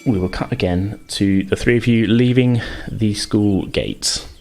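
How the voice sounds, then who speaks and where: Low-voiced man narrating a game. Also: measured pace, a little mellow. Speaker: game master. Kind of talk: improvised narration of a tabletop game.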